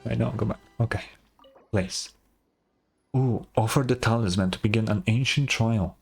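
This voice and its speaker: A man's voice: lowish voice, moderate pace, creaky, husky, soft background music. A man giving casual, informal gameplay commentary, reacting aloud as he plays. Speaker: gaming streamer.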